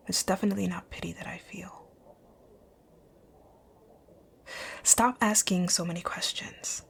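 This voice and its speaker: A woman playing a rich, dominant company owner in an ASMR roleplay, speaking in a composed, commanding, breathy near-whisper. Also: lowish voice, heavily acted. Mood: cool, guarded. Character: rich, bossy, dominant female company owner, curt yet flirtatious. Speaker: audio roleplay voice actor. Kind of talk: ASMR roleplay, scripted one-sided dialogue.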